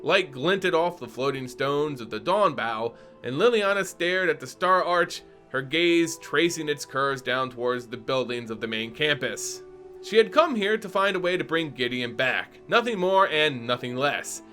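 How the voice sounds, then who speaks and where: A man with a bright, high-set voice reads a fantasy story with an animated, swinging delivery. He breaks off into playful, teacherly asides to his viewers, with soft guitar music under him. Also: unhurried. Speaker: lore channel host and narrator. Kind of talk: dramatic story reading and commentary.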